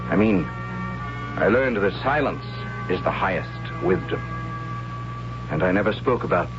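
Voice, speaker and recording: An actor playing a gentle, questioning young tribesman in a 1970s radio drama, earnest and hesitant. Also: sits low, expressive, a little slow, over music. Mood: reflective. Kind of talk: 1970s radio drama acting, narrating and in character. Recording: dull lo-fi 1970s radio recording.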